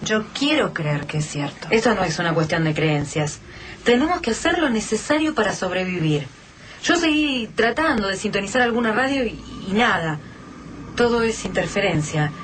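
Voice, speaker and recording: Woman playing a hopeful survivor, earnest, lively voice, radio play, noisy. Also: medium pitch, moderate pace, close to an everyday voice. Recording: noisy radio-drama recording.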